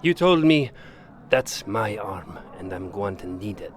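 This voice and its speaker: An actor playing an older, deferential courtly retainer in a fantasy audio drama, formal and ceremonious. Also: male voice, on the low side, sing-song, unhurried.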